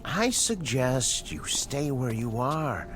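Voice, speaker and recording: An actor playing a calm, self-satisfied American scientist, soothing and a little condescending, in a radio drama. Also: male voice, medium pitch, slow pace, noisy background. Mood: patronizing.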